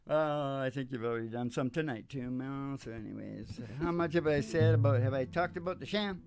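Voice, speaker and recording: A man's voice: medium pitch, normal speed. Old guy in his sixties rambling and chuckling like a folksy show host, guitar playing behind him. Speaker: host, country singer. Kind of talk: rambling casual banter. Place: small curtained studio. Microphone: stand microphone up close. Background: acoustic guitar.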